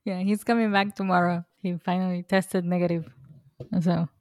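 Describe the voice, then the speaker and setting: A woman's voice: lowish voice, flat delivery, moderate pace, a little mellow, no room sound, clean studio sound. A woman co-hosting a casual podcast, chatty and laughing often. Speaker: podcast co-host.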